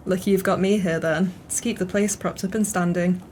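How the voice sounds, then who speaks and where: An actress playing a teasing, sharp-witted pub regular in an audio play, with a wry manner. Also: female voice, fairly low pitch, fairly even intonation, normal speed, a little hiss or hum, natural voice.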